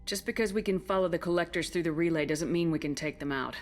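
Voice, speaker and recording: An actress playing a tough, skeptical military commander in a video game, blunt and commanding. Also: female voice, on the low side, quick pace, natural voice. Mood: firm, determined. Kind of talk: dramatic video game dialogue.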